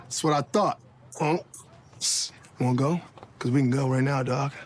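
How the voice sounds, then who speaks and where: A man's voice: medium pitch, moderate pace, darkish tone, slight background noise, lightly acted. A man voicing a cocky dad who goads a stranger with taunting, falsely bold talk. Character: cocky dad carrying a baby, goading a stranger.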